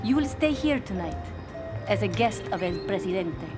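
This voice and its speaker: An actress playing a poised, guarded official and translator in a video game cutscene, formal and pointed. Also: female voice, medium pitch, lively intonation, normal speed, loud music behind the voice, close to an everyday voice.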